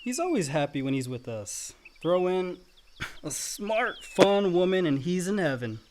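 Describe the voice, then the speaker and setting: A young adult brother in a radio drama. His pitch is somewhat high and his delivery dry, wry and gently chiding. Faint insects and birds are heard behind. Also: sing-song, unhurried, slight background noise. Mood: easygoing. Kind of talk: naturalistic radio play acting.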